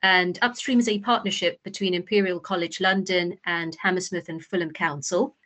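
A woman's voice: fairly low pitch, steady tone, normal speed, fairly bright tone. A woman host introducing a guest speaker in a formal, scripted way with occasional fillers.

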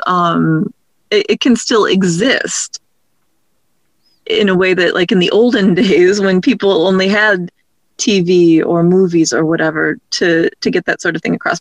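Female interview guest, writer, informal, tentative, thinking aloud, mid pitch, dry sound. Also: dry recording. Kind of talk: casual interview chat.

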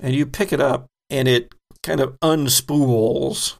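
Gravelly-voiced man running a game. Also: mid-range voice, fairly even intonation. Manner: descriptive, unhurried with frequent ums. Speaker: game master. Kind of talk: narrating a tabletop horror game.

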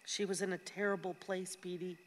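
A woman's voice: fairly low pitch, little pitch movement, fairly quick, close to an everyday voice. An actress playing an anxious, well-meaning older mother in a radio play. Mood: pleading, upset. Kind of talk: stage-like radio play acting. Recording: reverberant hall-like room.

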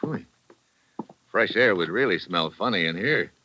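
An actor playing a tough, terse American investigator with a dry wisecracking manner in a 1950s radio detective drama. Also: male voice, low voice, animated, a little slow, pushed and bright, no echo, full character voice. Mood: dry, wry.

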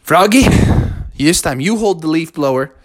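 A storyteller voicing a kindly father frog who talks gently. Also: mid-range voice, animated, moderate pace, natural voice. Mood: grateful.

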